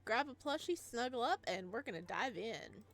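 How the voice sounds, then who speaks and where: Excitable woman with very lively pitch. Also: moderate pace. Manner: excitable, gushing reactions. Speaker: gaming channel host. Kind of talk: casual let's play commentary.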